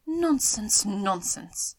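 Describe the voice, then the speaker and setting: A woman's voice: medium pitch, expressive, brisk. A woman reading an audiobook as a nervy, dramatic middle-aged mother who complains emphatically and querulously. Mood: exasperated.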